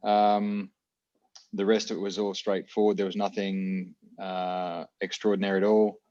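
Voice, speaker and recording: An Australian man gives a treasurer's report over a video call, his low voice staying flat. He halts and restarts often. The audio is dull and lo-fi. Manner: halting, many fillers and restarts. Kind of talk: online meeting report.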